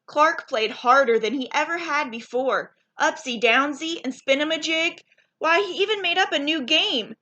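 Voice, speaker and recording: A woman's voice: sits high, moderate pace, pushed and bright. A woman narrating a children's storybook aloud, telling it expressively and putting questions to her young listeners.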